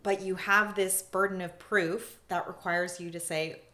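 A woman's voice: on the low side, fairly even intonation, a little slow, sharp tone. A young woman speaking as a prosecutor in casual conversation, thoughtful and hesitant, with many fillers and restarts. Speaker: prosecutor, podcast guest.

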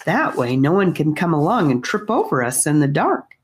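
A woman reading as a calm, sure young boy. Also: low voice, normal speed.

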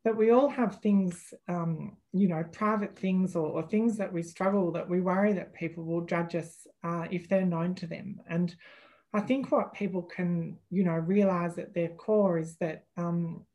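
A woman's voice: lowish voice, fairly even intonation, a little slow, mellow. A middle-aged Australian woman, an employment lawyer speaking as a podcast guest, thoughtful and hesitant, with many fillers and restarts. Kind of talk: podcast interview.

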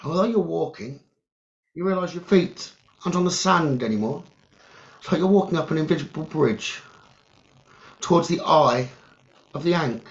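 A man leading a guided meditation in short, halting phrases with long pauses and a creaky voice. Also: a little high. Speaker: guided meditation leader, psychic medium. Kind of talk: slow guided meditation narration. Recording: dull, lo-fi sound.

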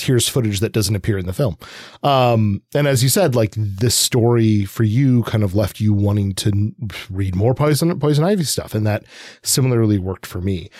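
A man with a lowish, husky voice co-hosts a podcast. He talks casually and chattily, wandering off into asides, in a dry recording without room sound. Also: a little mellow, dry recording. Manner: chatty, digressive. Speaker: podcast co-host. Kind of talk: casual rambling discussion.

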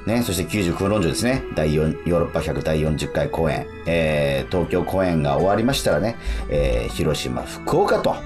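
Low male voice, lively swooping intonation, casual radio co-host, hesitant phrasing, music underneath. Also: moderate pace, few pauses. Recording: music playing under the talk. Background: background music.

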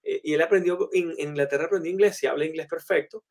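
Animated man telling stories. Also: fairly high pitch, fast. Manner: animated, anecdotal, many fillers. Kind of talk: casual storytelling in conversation.

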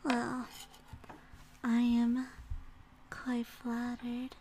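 Woman acting a slow, whispery yandere wolf girl. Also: fairly high pitch, completely flat delivery, slight background noise. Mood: teasing, flattered. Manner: breathy whispering mixed with soft speech, teasing, giggly. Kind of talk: ASMR roleplay, intimate address to listener.

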